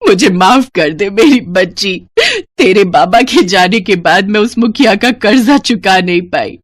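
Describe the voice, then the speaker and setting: A woman's voice: medium pitch, a little slow, dry recording, natural voice. A woman voicing a poor, weary widowed farmer mother in an audio story, plain and homely. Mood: tearful guilt.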